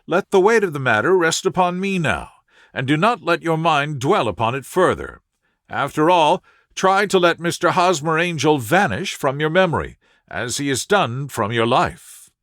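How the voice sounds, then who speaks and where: A man's voice: fairly high pitch, big pitch swings, moderate pace, a bit bright, no echo, clean studio sound, voice pushed up. A man voicing a composed, authoritative male detective with a precise, assured, lecturing manner in an audiobook. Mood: reassuring, firm. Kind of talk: audiobook character dialogue.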